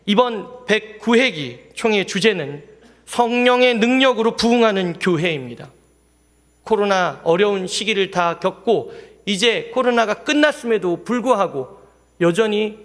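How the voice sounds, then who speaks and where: A man preaching a Sunday sermon as pastor, in a lively manner, often asking the congregation questions. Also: high voice. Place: church sanctuary. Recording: long hall reverb in a church.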